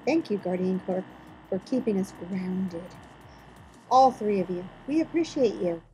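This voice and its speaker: A woman co-hosts a podcast, presenting segments and reading an episode recap with mock-dramatic flair. Her voice sits at a middle pitch. Faint music plays under her. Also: animated, measured pace, poor audio. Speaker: podcast co-host.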